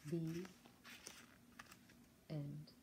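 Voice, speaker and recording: A woman teacher reading a picture book aloud to children, expressive, with chatty asides to her young listeners. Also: low voice, very slow, a little hiss or hum.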